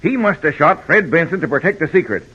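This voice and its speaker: An actor playing a shifty, blustering villain in 1950s western radio drama style, with a husky voice. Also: male voice, a little high, very animated, fast. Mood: blustering, evasive. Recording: dull old radio recording.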